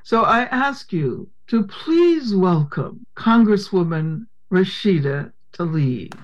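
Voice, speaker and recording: Woman, formal introduction, very slow deliberate pace, dull lo-fi sound. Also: medium pitch, very animated, some chatter behind. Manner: measured, formal delivery. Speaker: event presenter introducing the speaker. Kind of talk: read introduction.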